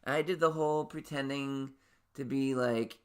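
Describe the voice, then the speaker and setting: A man in his late thirties hosting his podcast in a casual solo monologue. His voice sits naturally high, and he rambles with lots of restarts and fillers. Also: steady tone, measured pace, clear, resonant tone. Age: late 30s. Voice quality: naturally high voice he can drop lower. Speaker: podcast host.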